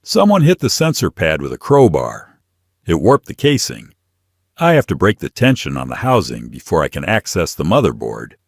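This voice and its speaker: Man playing blunt, defiant young mechanic, pitched lively. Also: moderate pace, breathy edge, no echo, clean studio sound, heavily acted. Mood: clenched, irritated. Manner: muttering, blunt, irritated. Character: blunt, stubborn young male former maid turned mechanic, defiant.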